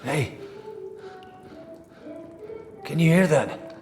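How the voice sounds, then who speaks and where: An earnest captive priest, higher-pitched. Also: male voice, animated, a little mellow. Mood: urgent. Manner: halting, earnest. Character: captive male priest, earnest, pressed into confessing. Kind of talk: video game cutscene acting. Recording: music behind the voice.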